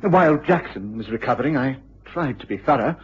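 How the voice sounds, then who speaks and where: An excitable English don in a vintage radio drama. His voice is very rough and husky, his delivery formal, exact and agitated. The old recording is muffled and lo-fi. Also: mid-range voice, brisk. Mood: anxious, earnest. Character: agitated, excitable male university lecturer, gaunt and anxious. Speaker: actor in a radio play. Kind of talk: period BBC radio drama acting.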